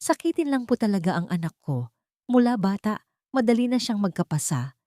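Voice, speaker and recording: A woman's voice: medium pitch, very animated, fairly quick, dark tone, dry recording, studio quality, pitched above the performer's own voice, natural voice. A woman voicing a distraught mother in her fifties in a story, tearful and imploring. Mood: anxious pleading.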